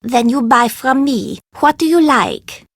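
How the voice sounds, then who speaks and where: A proud woman selling curios at a market in a video game. Her voice is fairly high and recorded dry. She haggles stubbornly in formal, foreign-sounding phrases. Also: lively intonation, unhurried, no room sound. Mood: proud, insistent. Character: proud, stubborn female street vendor of curios. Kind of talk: video game character dialogue.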